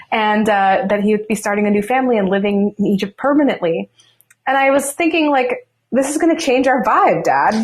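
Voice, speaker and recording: A woman, a guest author answering interview questions in a reflective, chatty way. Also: medium pitch. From Los Angeles.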